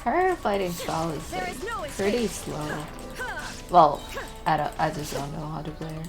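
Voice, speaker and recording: A woman's voice: low voice, animated, a little slow. A woman giving casual, playful let's play commentary, informal and reactive as she follows the game. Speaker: game streamer. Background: game music.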